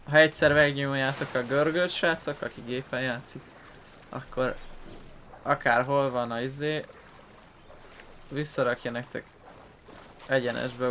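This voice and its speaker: A man's voice: medium pitch, fairly bright tone, slight background noise. A man giving casual gameplay commentary as a gaming video host.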